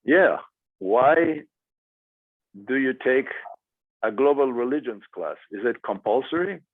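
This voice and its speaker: A man speaking as a guest to a class and answering student questions, with many pauses and fillers. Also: mid-range voice, lively intonation, dry recording. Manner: hesitant, many fillers and restarts. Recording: dry tinny phone-like audio.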